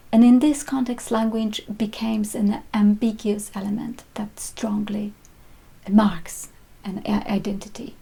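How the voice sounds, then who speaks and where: Woman, gravelly and mellow. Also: on the high side. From Poland. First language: Polish. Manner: measured, with frequent hesitations. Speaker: visual artist. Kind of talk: reflective talk on her art practice.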